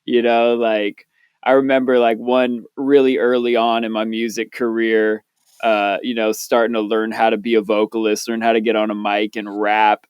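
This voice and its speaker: A man's voice: fairly low pitch, moderate pace, smooth, no room sound. A young man, a podcast co-host, chatting casually, swearing freely and telling stories with energy. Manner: laid-back, swears freely, animated storytelling. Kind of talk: casual chat.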